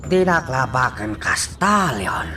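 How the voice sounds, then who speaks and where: A man acting an excitable, easily alarmed businessman in a radio drama. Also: medium pitch, slow, a little hiss or hum, full character voice. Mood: startled. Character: male Chinese businessman Mister Wong, excitable and alarmed. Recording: music behind the voice.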